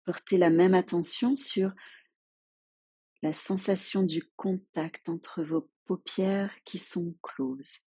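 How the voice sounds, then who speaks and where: A woman leading a guided yoga nidra relaxation as a teacher, giving soothing, unhurried instructions with long pauses. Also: lowish voice, steady tone, mellow, breathy edge, dry recording.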